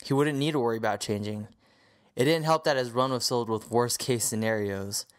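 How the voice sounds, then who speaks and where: A man's voice: mid-range voice, fairly quick. A man reading scripted story narration as the narrator of an audio drama.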